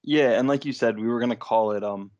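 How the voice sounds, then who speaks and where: A man's voice: medium pitch, fairly quick, a little mellow, highs cut off. A young man co-hosting a sports podcast in a casual, conversational style.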